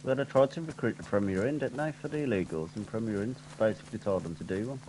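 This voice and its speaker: A man's voice: fairly low pitch, quick pace, a little mellow, slight background noise, low-quality recording. A man chatting casually with a gaming friend, mostly giving brief agreeing replies and dry remarks. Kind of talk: casual chat.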